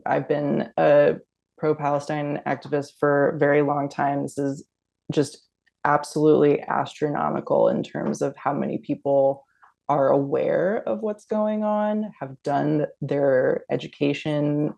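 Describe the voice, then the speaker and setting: A woman activist guest speaking in an interview, thoughtful, often pausing with "um" and "you know". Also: low voice, flat delivery, gravelly, darkish tone, highs cut off. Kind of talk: casual interview talk.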